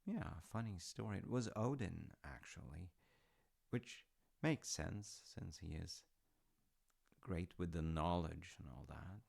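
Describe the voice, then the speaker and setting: A man's voice: sits low, expressive, takes it slowly, gravelly, a little mellow, full character voice. A man playing an easygoing dad in an intimate audio roleplay, telling old myths casually with wry, understated humour. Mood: wryly amused. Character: easygoing, artsy dad telling Norse myths to his grown child.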